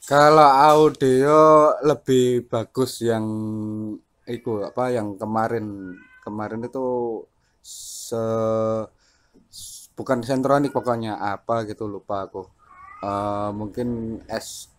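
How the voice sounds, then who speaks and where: Low-key man chatting on radio. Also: steady tone, slow pace. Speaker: amateur CB radio operator and vlogger. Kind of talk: casual radio chat.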